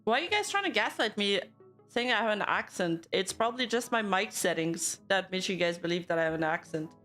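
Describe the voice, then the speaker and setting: A woman's voice: medium pitch, expressive, brisk, fairly bright tone, soft background music. A young woman from Canada, a VTuber streamer, casually chatting and reacting to news and her live chat, laughing often and teasing back.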